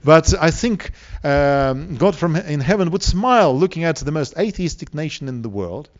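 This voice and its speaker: A man from Siberia with a Russian accent preaches to an audience, speaking deliberately with rhetorical questions and emphatic pauses. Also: a little high, expressive, dull audio. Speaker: preacher, evangelistic seminar speaker. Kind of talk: sermon to a live audience.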